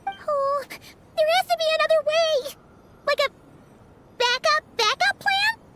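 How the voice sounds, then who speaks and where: Super high cutesy fairy sidekick from a game, fretful little kid sound. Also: female voice, slow pace, background noise, heavily acted. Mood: worried pleading. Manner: fretful, cute, expressive. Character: small, anxious, childlike fairy companion. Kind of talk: scripted game dialogue.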